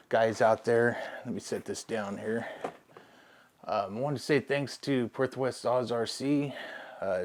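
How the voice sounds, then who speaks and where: A hoarse man in his forties chats to his camera in a low, flat voice. He rambles along with plenty of fillers, from an indoor hobby room, his voice worn down. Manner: relaxed, rambling, many fillers. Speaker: vlogger. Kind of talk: casual chat to camera.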